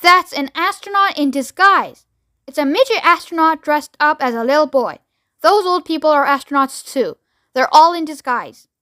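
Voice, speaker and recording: A woman's voice: extremely high pitch, steady tone, moderate pace, bright, forceful tone, no room sound, clean studio sound, voice pushed up. A woman voicing an irascible, panicky male ground controller in a children's audiobook, barking and bossy. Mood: exasperated.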